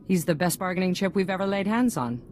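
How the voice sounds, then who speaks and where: Casting: actress for poised female galactic leader in a sci-fi game, low voice, polished statesmanlike delivery. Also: lively intonation, quick pace, a little mellow, slight background noise, lightly acted. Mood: confident. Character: poised, self-assured female head of a galactic government. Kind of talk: video game cutscene voice acting.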